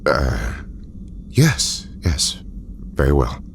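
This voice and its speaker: Extremely deep, courteous male doctor played by an actor. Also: very animated, drawn-out words, gravelly, slight background noise, heavily acted. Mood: hesitant, apologetic. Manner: hesitant, polite, precise. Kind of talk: audio drama acting.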